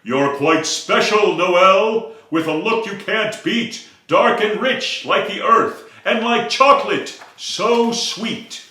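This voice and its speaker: A giant sunflower , done by a man, speaks in a sweet, admiring voice at a raised pitch. It is bright. The room is very echoey. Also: takes it slowly, few pauses, far from the mic, heavily acted.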